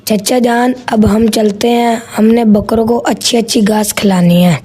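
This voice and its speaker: A woman's voice: a little high, steady tone, unhurried, background noise, close to an everyday voice. A woman voicing a polite, eager young village boy in a cartoon story. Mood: polite, curious.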